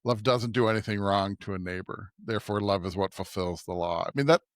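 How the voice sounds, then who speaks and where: A man co-hosting a podcast in casual discussion, halting and repeating words as he seeks agreement. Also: on the low side, no echo, clean studio sound. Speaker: podcast host.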